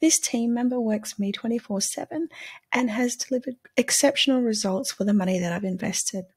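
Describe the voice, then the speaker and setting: A woman hosting her own channel, giving a casual product review with a croaky voice, chatty and earnest, explaining step by step. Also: a little high, fairly quick. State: croaky voice today. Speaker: channel host.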